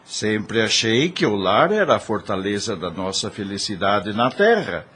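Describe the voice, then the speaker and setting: A slow-speaking, fairly low-voiced gentleman played by a man in an audio drama. His husky voice is earnest and formal, with wide, expressive intonation. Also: heavily acted. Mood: reflective. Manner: formal, ponderous. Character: earnest gentleman, humbled and moved by faith.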